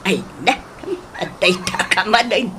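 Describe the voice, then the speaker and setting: A woman playing a pleading middle-aged mother and aunt in an Ilocano radio drama, speaking slowly and emotively with an Ilocano Filipino accent. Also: medium pitch, noisy background, natural voice. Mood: coaxing. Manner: slow, drawn-out, emotive delivery.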